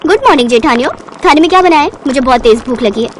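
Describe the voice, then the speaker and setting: A woman's voice: high voice, animated, rapid-fire, slight background noise. A woman voicing a lazy, cheeky young daughter-in-law with a whiny, insolent manner in a comedy cartoon. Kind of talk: Hindi comedy cartoon dialogue.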